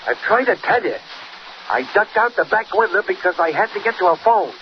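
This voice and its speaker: A man's voice: high voice, expressive, fairly quick. An American actor playing an agitated, slangy small-time crook and henchman in 1940s radio style. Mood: agitated, urgent. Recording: noisy, muffled old 1940s radio recording.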